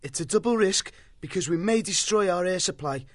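Brave young male mouse by a man, earnest, leading, lively pitch, storybook. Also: brisk. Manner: earnest, taking charge. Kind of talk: audiobook character voice.

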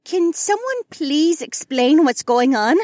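A woman playing an emotional, flustered middle-aged mother in an audiobook, halting as she talks. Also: high-pitched, big pitch swings, dry recording, clean studio sound, exaggerated voice. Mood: bewildered, flustered. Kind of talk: audiobook character acting.

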